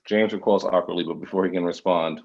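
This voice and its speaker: Deep, gravelly man reading stage directions. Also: steady tone, fast, dull audio. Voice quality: gravelly, husky. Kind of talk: scripted narration.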